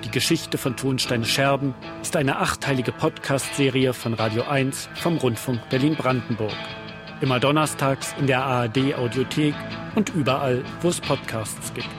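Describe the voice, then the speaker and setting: A man's voice: medium pitch, moderate pace. A man hosting a podcast, reading scripted first-person documentary narration. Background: guitar music.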